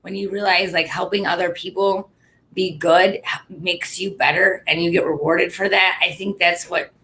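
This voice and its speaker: A woman's voice: fairly low pitch, steady tone, moderate pace, sharp tone, low-quality recording. A woman hosting a casual interview, chatty and filling her sentences with "like.". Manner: chatty, lots of filler "like". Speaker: host.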